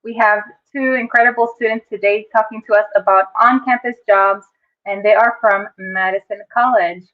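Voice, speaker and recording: A woman's voice: mid-range voice, fairly even intonation, normal speed. Woman host, upbeat, short reactions, tinny phone-line sound. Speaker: interview host. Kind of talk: hosting a student interview.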